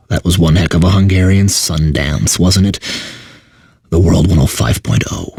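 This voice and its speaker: A man's voice: low-pitched, measured pace, creaky, a little mellow, slightly rough, no echo, studio quality, exaggerated voice. A man playing a bitter, burnt-out corporate radio DJ in a video game, mixing announcer patter with sarcastic, exasperated asides. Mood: weary, sardonic. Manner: exaggerated announcer delivery with sardonic, exasperated asides.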